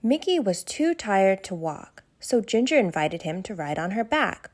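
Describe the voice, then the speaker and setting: A woman narrating a bedtime story aloud for children in an expressive way that speaks directly to young listeners. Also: mid-range voice, measured pace.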